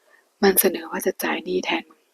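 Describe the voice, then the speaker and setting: A woman's voice: a little fast, no echo, lightly acted, low-quality recording. A woman voicing a crude, hot-tempered young man in a whispered story reading. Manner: blunt and curt, hushed.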